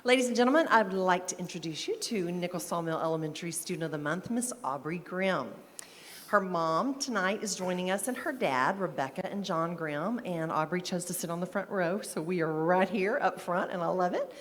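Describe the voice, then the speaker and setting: An upbeat, chatty woman introduces a student of the month and gushes over each detail. Her voice sits a little low and rings in a reverberant hall. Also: animated, moderate pace, slight background noise. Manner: upbeat, chatty, warmly enthusiastic. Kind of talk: presenting a student award.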